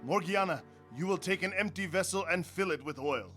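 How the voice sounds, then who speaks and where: Actor as courtly, higher-voiced generous host. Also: male voice, brisk, fairly bright tone, faint music behind. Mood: hospitable. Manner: formal, courtly. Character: generous, trusting wealthy householder, courtly host. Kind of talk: radio drama acting.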